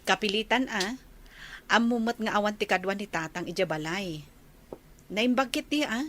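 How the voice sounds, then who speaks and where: A woman playing a lively older sister in an Ilocano radio comedy drama, with a Filipino accent. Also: medium pitch, moderate pace, a little hiss or hum, lightly acted. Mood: conversational.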